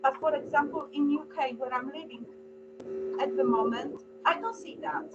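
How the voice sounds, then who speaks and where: A woman's voice: sits high, moderate pace. A woman from Poland with a Polish accent, speaking earnestly and somewhat hesitantly in an informal panel talk. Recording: dull lo-fi sound, humming noise.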